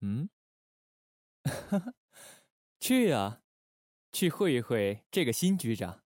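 A man playing a shrewd, authoritative secret-service chief in a Chinese audio drama, measured and wry. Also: medium pitch, very animated, darkish tone, dry recording, clean studio sound. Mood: wryly amused. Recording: music bed behind the voice.

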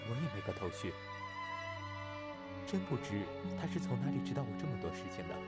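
A man playing a calm, proud young zither player of a pleasure house in a Chinese costume audio drama, soft-spoken and reserved. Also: mid-range voice, fairly quick, lightly acted. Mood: wistful, guarded. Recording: loud music over the voice.

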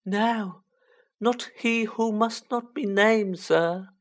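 A woman voicing a small male house-elf for a fantasy audiobook, a squeaky, humble, anxiously devoted servant who pleads with drawn-out emphasis. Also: lightly acted. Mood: earnest, anxious. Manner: pleading, drawn-out, emphatic delivery in third person. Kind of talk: children's fantasy audiobook character voice.

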